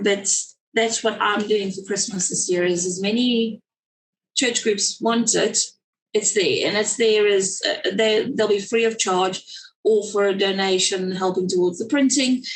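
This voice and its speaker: A woman's voice: medium pitch, fairly even intonation, a little slow, low-quality recording. A woman with a South African accent, talking casually as a guest on a video call webinar, rambling with lots of fillers and restarts. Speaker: guest speaker, children's story writer.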